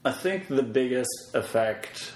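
A man speaking as a podcast guest in casual conversation, thinking aloud in a reflective, halting way. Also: medium pitch, lively intonation. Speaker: podcast guest, web developer and comedy performer. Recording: roomy echo, faint background noise.

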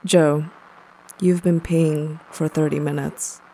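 A woman playing a blunt, deadpan lover of spooky things in an audio drama, speaking haltingly. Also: sits low, heavy background noise. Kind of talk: naturalistic audio drama storytelling.

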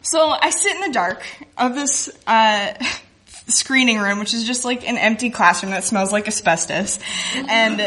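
Bright-voiced woman telling a personal story. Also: fairly high pitch, measured pace. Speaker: guest speaker, cartoonist. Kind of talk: personal storytelling to a live audience.

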